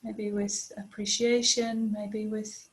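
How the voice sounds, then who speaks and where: A woman leading a guided body scan meditation as a teacher, speaking gently and unhurriedly with long pauses. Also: medium pitch, level tone, low-quality recording.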